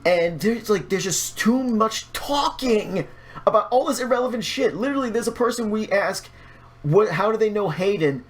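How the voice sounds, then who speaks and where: A man's voice: sits high, lively intonation, moderate pace. A man speaking as a guest in a casual podcast chat, rambling, opinionated and freely swearing. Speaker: podcast guest, video creator.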